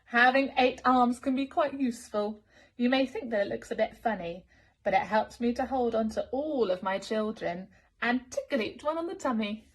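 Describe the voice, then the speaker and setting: A woman's voice: on the high side, normal speed, lightly acted. A woman voicing a fond, playful mother octopus from a storybook, tender and rhythmic.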